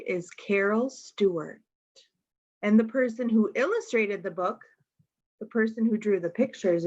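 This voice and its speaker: Slow-paced woman reading to young children. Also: mid-range voice, lo-fi sound. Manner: expressive, addresses children directly with questions. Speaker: storytime reader. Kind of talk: picture book read-aloud for young children.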